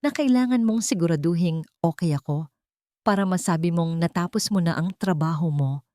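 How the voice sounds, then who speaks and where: A woman's voice: lowish voice, normal speed, mellow, dry recording, clean studio sound, lightly acted. A woman voicing a weary, guarded, bitter woman in an audiobook drama. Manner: weak, guarded. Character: weary, bitter, wounded woman pushing a man away.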